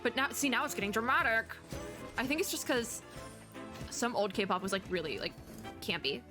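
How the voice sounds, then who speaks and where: A woman giving casual, excited reaction commentary as a streamer, rambling, gushing and often cutting herself off. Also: fairly high pitch, normal speed. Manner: rambling, gushing, self-interrupting. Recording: music playing behind the voice. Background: game trailer music.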